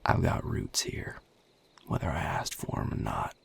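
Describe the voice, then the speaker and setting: A man playing a weary, protective vampire sire in an intimate audio roleplay, with a Southern US accent, a husky, gravelly low voice and a folksy, guarded drawl. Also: measured pace, fairly bright tone, close to an everyday voice. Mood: weary, resolute. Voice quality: very deep, gravelly, husky and breathy. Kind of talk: audio roleplay drama, intimate one-sided dialogue.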